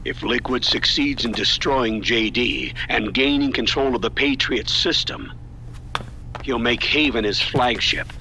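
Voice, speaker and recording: An actor playing a resolute older male military commander, giving a solemn, deliberate, dramatic mission briefing in a video game. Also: medium pitch, vocal fry, pushed and bright, a little hiss or hum. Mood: grave, urgent.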